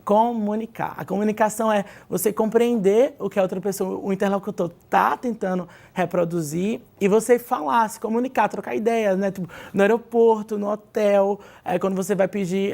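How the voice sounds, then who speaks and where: Creaky-voiced Brazilian woman teacher. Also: mid-range voice, fairly even intonation, normal speed, hardly any pauses, warm tone. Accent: Brazilian Portuguese. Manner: explanatory, lots of "né?" tag questions. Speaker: English teacher, studio guest. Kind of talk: TV studio interview, advice.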